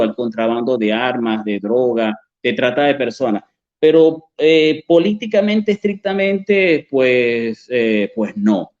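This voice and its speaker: Hesitant Venezuelan man, muffled sound. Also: mid-range voice. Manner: hesitant, many fillers and restarts. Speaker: author and journalist, interview guest. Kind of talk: conversational interview answers.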